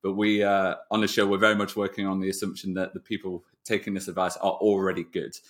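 A man's voice: low voice, level tone, fast, fairly bright tone. A man hosting a casual interview podcast, talking in a wordy, discursive way with long run-ups to his points. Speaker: podcast host.

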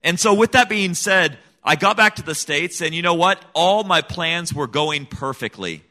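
A man's voice: fairly high pitch, animated, moderate pace, sharp tone. A middle-aged man raised in Senegal, giving an earnest, emphatic personal testimony as an interview guest. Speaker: guest, missionary kid.

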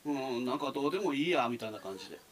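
A man's voice: medium pitch, expressive, racing. A man with a Kansai accent chatting casually in a conversation. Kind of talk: casual chat.

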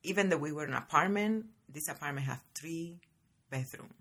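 A woman, hosting a podcast and telling her life story in a chatty, lively way, often calling her listeners guys. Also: low-pitched, fairly quick, husky. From Cuba. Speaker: podcast host. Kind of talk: casual personal storytelling.

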